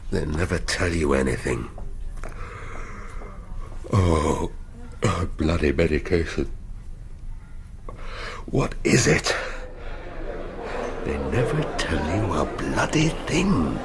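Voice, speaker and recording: Grumpy middle-aged British detective, very deep voice, dry, bookish grumbling, radio drama, noisy background. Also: male voice, expressive, takes it slowly, long pauses, heavily acted. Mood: gloomy, grumbling.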